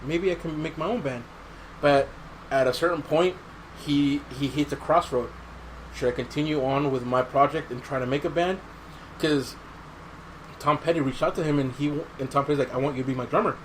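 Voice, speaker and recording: A man's voice: fairly high pitch, moderate pace, low-quality recording. A man talking casually as a podcast host, recounting stories in a conversational way. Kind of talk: casual chat. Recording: clear background noise.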